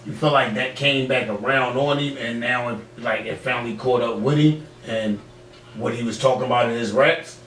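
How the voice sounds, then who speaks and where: In a reverberant room with faint noise, a man of medium pitch hosts casually from a bit away from the mic. His talk is blunt, slangy and repetitive. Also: moderate pace, fairly bright tone, distant mic. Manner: slangy, blunt, repeats himself. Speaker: podcast host. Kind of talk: casual interview chat.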